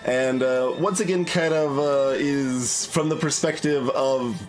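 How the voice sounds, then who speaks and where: Guy doing game commentary, lots of uhs and restarts, mid pitch, some quiet music under it. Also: slow. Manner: thinking aloud, many fillers and restarts. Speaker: let's play host.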